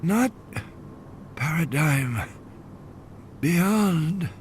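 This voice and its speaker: Audiobook role: weary delirious man, acted by a male narrator, broken phrases, pitch lifting and swaying. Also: animated, slight background noise. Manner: halting, fragmented speech. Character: feverish, delirious dying man, drifting and weary. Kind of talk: dramatic audiobook character reading.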